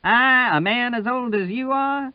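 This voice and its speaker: A man's voice: very high voice, measured pace, clean tone, no echo, lo-fi sound, pitched above the performer's own voice. Man playing a curt, imperious older colonel. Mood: sharp, affronted. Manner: short, sharp, commanding.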